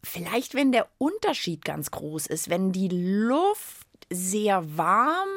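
A woman co-hosting a science show for children in a chatty, lively style. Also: mid-range voice, slow, dry recording, clean studio sound. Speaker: children's science podcast host.

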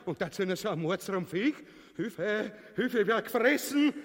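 Male role, grotesque radio farce: blustering cowardly tyrant, highish pitch, southern German-Austrian dialect, long hall reverb. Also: lively intonation, moderate pace. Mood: terrified. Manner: pompous, blustering, mock-solemn. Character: bombastic, cowardly, boastful petty-bourgeois tyrant, grotesque. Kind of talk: grotesque comedy radio play.

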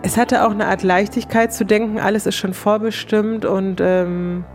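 A woman's voice: medium pitch, flat delivery, normal speed, soft background music. A woman telling her own story casually and frankly, quoting her thoughts in colloquial speech.

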